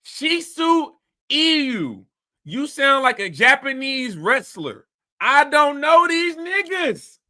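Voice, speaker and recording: A man's voice: extremely high pitch, very animated, takes it slowly, pushed and bright. A man hosting a casual commentary show, talking bluntly and animatedly in a rambling way. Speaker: host.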